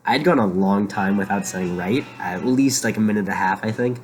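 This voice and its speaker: A young man hosting a rambling solo monologue from a room in a shared house, talking quietly and musing aloud with lots of fillers. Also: on the low side, fairly quick. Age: young adult. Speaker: podcast host. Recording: quiet home recording with music behind. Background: faint music playing.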